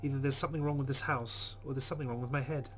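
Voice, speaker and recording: A man hosting a let's play, reading the game's narration aloud expressively with quieter casual asides. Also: medium pitch, fairly even intonation, racing, a little hiss or hum. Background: guitar game music.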